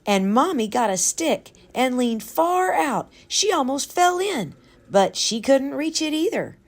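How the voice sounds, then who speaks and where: A woman's voice: high voice, big pitch swings, measured pace, voice pushed up, heavily acted. A woman voicing an eager, curious little girl in a children's storybook, with an insistent manner. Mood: excited.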